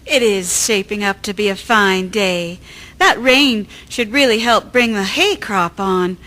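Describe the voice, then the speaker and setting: A woman playing a no-nonsense farm wife in a historical radio drama, with a brisk, wry, homely manner. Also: mid-range voice, bright, forceful tone. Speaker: amateur voice actor, storyteller.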